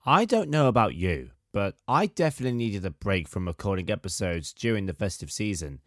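A man in his thirties, slightly hoarse with a sore throat, hosting a podcast in a measured, articulate, scripted narration style. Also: lowish voice, sing-song, no echo, studio quality. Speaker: podcast host, composer.

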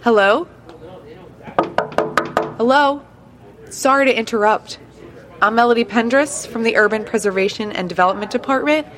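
A woman's voice: on the high side, moderate pace, long pauses, a crowd in the background, lightly acted. An actress playing a curious, careful female building surveyor in an audio drama, inquisitive and polite. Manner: careful and inquisitive, growing uneasy.

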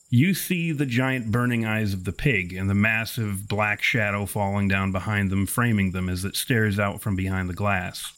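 A man narrating a tabletop horror game as game master, in a measured, wordy, descriptive way. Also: fairly low pitch.

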